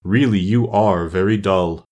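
A man voicing a melancholy old Mock Turtle from a storybook, solemn and self-pitying, with slow, drawn-out speech. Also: lowish voice, very animated, a little mellow, exaggerated voice. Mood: sullen. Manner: slow, drawn-out, mournful delivery with heavy pauses.

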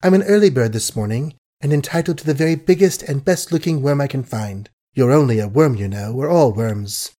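A man's voice: mid-range voice, lively intonation, brisk, hardly any pauses, mellow, lightly acted. A man voicing a shrewd, worldly society woman in an audiobook, with an earnest, emphatic and dramatic delivery. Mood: playful, scornful. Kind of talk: audiobook character acting.

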